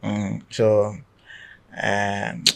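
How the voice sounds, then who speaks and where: A man from Nigeria with a Nigerian accent, a guest in a podcast interview who talks in a chatty, relaxed storytelling way. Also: fairly low pitch, very slow, creaky. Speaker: guest content creator. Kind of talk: casual conversational interview. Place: podcast studio.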